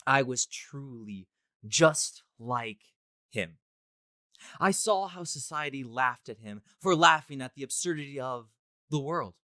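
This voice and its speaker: A man's voice: medium pitch, animated, a little slow, bright, forceful tone, no echo, studio quality. A man playing a smug, deluded college student who thinks he is the Joker, in a pompous, theatrical story reading. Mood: grandiose. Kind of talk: dramatic first-person story reading.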